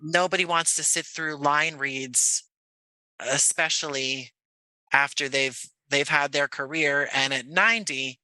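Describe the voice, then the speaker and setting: A man with a strikingly bright, sharp voice, recorded close and dry. He talks as a guest in a conversational interview, thinking aloud and rephrasing as he goes. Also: fairly high pitch, unhurried, clear, resonant tone, dry recording, rough recording. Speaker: interview guest, actor and audio drama creator.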